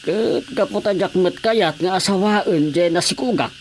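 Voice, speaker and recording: A man playing a sheepish aging playboy villager in an Ilocano radio comedy drama, eagerly repeating himself as he haltingly confesses. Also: high-pitched, a little hiss or hum. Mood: boastful, confiding.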